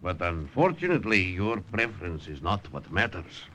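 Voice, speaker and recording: An actor playing a smooth, haughty middle-aged fascist tycoon in an old-time radio drama. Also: male voice, sits low, very animated, normal speed, pushed and bright, heavily acted. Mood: suave condescension. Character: smooth, haughty older Italian fascist industrialist.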